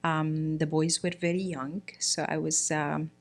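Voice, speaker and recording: A middle-aged woman from Egypt talks in a relaxed interview. Her voice sits fairly low, and she keeps stopping to search for words with lots of "uh" and "you know." She speaks plainly, in an unhurried, conversational way. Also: faint music behind. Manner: hesitant, many fillers and restarts. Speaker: guest, priest's wife.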